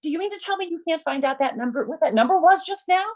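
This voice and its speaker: A woman's voice: extremely high pitch, animated, fast, a little mellow. An actress playing an agitated, demanding married woman in an old-time radio thriller, stammering and repeating herself indignantly. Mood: indignant, impatient. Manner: stammering, breathless repetitions, indignant.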